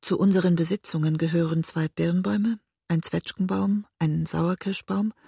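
An actress playing a weary, emotionally raw middle-aged woman in a radio drama, intimate and restrained, with a husky, breathy voice. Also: fairly low pitch, steady tone, moderate pace, dark tone, dry recording, clean studio sound. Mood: reflective. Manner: intimate, restrained, literary narrating. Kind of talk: radio drama narration and dialogue.

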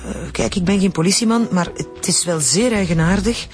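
A Flemish actress playing a frank, careful forensic pathologist in a radio drama, explaining in a familiar way. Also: female voice, fairly low pitch, normal speed, soft background music, lightly acted. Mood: wry. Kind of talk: naturalistic radio drama dialogue.

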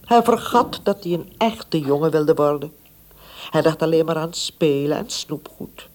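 A woman with a breathy voice narrating a scripted children's story. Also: sits low, lively intonation, unhurried, a little mellow.

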